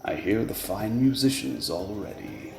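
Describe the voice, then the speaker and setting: A man playing a proud, menacing lord of the dark fairies in a radio play, speaking slowly and grandly with disdain. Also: deep voice, huge pitch swings, gravelly, reverberant, soft background music, full character voice. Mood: grand, commanding. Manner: slow, grandly theatrical, disdainful.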